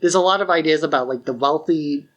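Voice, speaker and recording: A man's voice: on the high side, fast, a bit bright, muffled recording. A man co-hosting a podcast, discussing films casually and informally, sometimes voicing imagined remarks as he explains. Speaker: podcast co-host.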